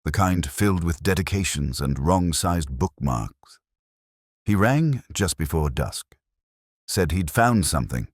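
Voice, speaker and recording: A man narrating a first-person detective story in a measured, brooding way, with deliberate pauses. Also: low-pitched, lively intonation, no room sound, clean studio sound.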